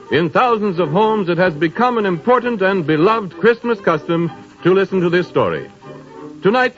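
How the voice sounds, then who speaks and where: A man announcing a radio drama and reading its sponsor's message in a formal, polished 1930s broadcast style. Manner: smooth, polished sponsor pitch. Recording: dull old radio broadcast with faint music.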